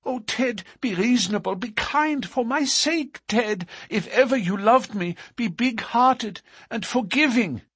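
Guy doing a scared young woman in love, high husky voice, begging and breathless, audiobook style. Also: huge pitch swings, unhurried, a bit bright, no room sound, clean studio sound, exaggerated voice. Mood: pleading. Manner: breathless, imploring.

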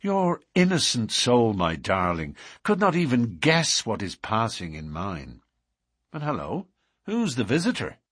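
Guy doing a bold young man in a story, cool and defiant, protective, husky, lively pitch. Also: measured pace, dry recording, clean studio sound, full character voice. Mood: bitter, tender. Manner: cool, challenging, tender to his sweetheart.

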